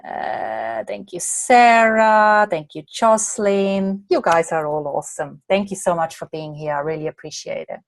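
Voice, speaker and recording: A slightly hoarse woman from Australia, a coach answering viewer questions in a chatty way during a live online masterclass late at night. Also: lowish voice, no echo. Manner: chatty, reads out viewer comments and answers them. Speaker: coach and presenter.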